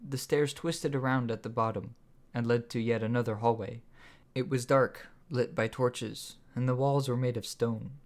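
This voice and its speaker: A man's voice: mid-range voice, fairly even intonation, normal speed. Man narrating an audiobook story. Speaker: author reading own audiobook.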